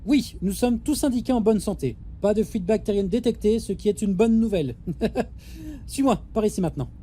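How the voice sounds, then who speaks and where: Female space captain played by a woman, soft-toned yet authoritative, no time for nonsense, audio drama. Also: medium pitch, lively intonation, brisk, a little hiss or hum, natural voice. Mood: instructive, patient. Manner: authoritative, matter-of-fact, dismissive of nonsense. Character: brisk, busy female space station captain. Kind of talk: French audio drama acting.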